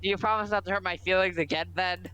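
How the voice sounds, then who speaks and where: A man's voice: sits high, steady tone, fast talker, bright, forceful tone, clean tone. A man chatting informally with friends during a game, bantering and swearing. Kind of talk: casual chat among friends during a game.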